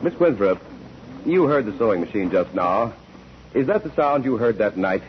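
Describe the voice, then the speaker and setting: A formal, methodical courtroom examiner in an old radio drama. His low voice swings widely in pitch as he probes. The sound is dull and noisy, like a 1940s broadcast. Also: very animated, normal speed. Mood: brisk, methodical. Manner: crisp, formal questioning.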